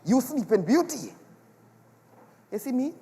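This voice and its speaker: Selfish Trinidadian family man played by a male stage actor, blustering, animated pitch, dialect comedy, reverberant hall. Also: measured pace, full character voice. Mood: grumbling, sly. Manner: exasperated, exclamatory, dramatic. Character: selfish, rum-loving husband and father, blustering and evasive. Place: large performance hall, on stage. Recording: echoey hall with long reverb.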